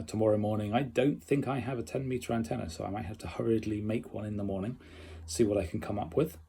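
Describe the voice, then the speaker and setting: A man talking casually and unscripted as in a personal video diary, halting and reflective with long pauses, in a husky voice. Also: on the low side, mellow. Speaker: amateur radio learner recording a progress diary.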